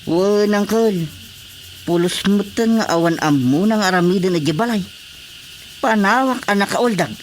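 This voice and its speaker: A man's voice: high voice, a little slow. An exasperated farmer husband in a radio drama. He is insistent and full of grievance about married life. Faint noise and chirping insects sit behind the voice. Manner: complaining, insistent. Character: aggrieved farming husband fed up with his wife.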